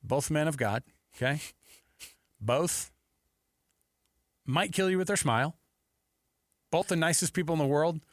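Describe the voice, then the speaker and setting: A man's voice: on the high side, a little slow, pauses often, no echo. A man hosting sports radio with casual, conversational and playful delivery on a close studio mic. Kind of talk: casual sports talk with anecdotes. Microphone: close studio radio microphone.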